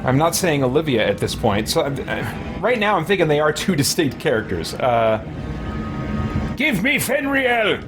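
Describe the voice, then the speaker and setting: A man's voice: a little high, very animated, moderate pace, over music. A man giving casual, rambling let's play commentary as a gaming streamer, musing aloud as he goes. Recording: game sounds behind the voice. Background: video game sound.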